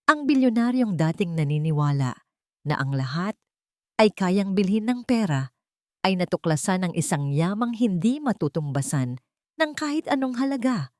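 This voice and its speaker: A woman narrating a scripted audio drama story in a sentimental, earnest way, with measured phrasing and dramatic pauses. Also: mid-range voice, very animated, a little mellow, no echo, clean studio sound.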